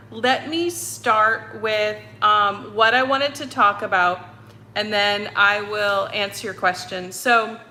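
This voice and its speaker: A woman's voice: medium pitch, sharp tone, slight background noise. A woman with a slight Boston accent, chatting casually with viewers on a livestream from her home, rambling with lots of ums and restarts. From North Andover, Massachusetts. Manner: chatty, rambling, many ums and self-corrections. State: hot and sweaty. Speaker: medium hosting a livestream. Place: apartment with broken air conditioning.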